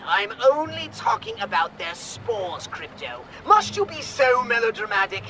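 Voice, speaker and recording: A man's voice: very high voice, huge pitch swings, unhurried, vocal fry, pushed and bright, soft background music, full character voice. An actor playing a fussy, imperious alien commander in a video game, prim and theatrical as he gives orders. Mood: exasperated. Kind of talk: video game cutscene acting.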